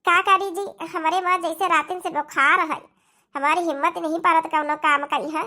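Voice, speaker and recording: A young, put-upon daughter-in-law from a comedy cartoon, acted by a woman, speaks in a high, sharp voice. She has an Awadhi accent and complains plaintively, begging for sympathy. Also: steady tone, moderate pace.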